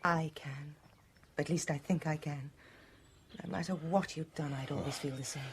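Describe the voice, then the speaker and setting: A woman's voice: deep voice, fairly even intonation, normal speed, noisy background. A British woman playing a secretive, emotional wife of a murdered man in a radio drama. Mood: hushed, troubled.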